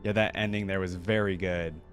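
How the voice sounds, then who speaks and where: A man's voice: low-pitched, fairly even intonation, normal speed, soft background music. A man hosting a reaction video, commenting casually and informally as he thinks aloud.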